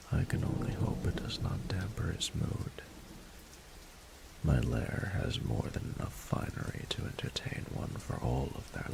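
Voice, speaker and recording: Man acting as a rumbling, gravelly, seductive dragon lord. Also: noisy background, full character voice. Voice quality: very deep, gravelly, breathy half-whisper. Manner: slow, formal, archaic, seductive phrasing. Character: courtly, flirtatious adult male dragon in human form. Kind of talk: scripted romantic audio roleplay.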